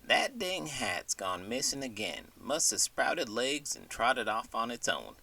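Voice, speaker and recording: Guy doing a folksy cowboy with a western american drawl, swoopy pitch, bright voice, kinda puzzled, good natured. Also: big pitch swings, measured pace, vocal fry, husky, distant mic, heavily acted. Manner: folksy, colorful cowboy idioms. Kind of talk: scripted comedic bedtime interlude.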